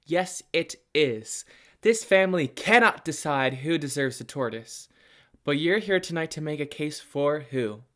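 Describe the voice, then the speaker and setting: Young student doing a smooth nosy tv doctor hosting a family feud show, radio play, middling pitch. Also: expressive, measured pace, natural voice. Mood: showy, steering. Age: teenager or young adult student. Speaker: talk show host.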